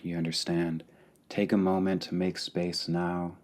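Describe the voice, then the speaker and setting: Slow, low-pitched man guiding meditation. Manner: calm, deliberate, pausing between short phrases. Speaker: meditation guide. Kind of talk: guided meditation, slow and spacious.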